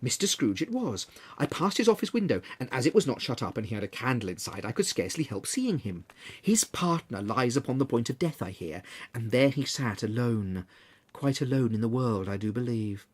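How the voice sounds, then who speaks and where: Audiobook role: narrator voicing a genial family man, lower register, teasing tone. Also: sing-song, fairly quick, husky. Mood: amused. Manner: smiling, teasing. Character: cheerful family man, husband.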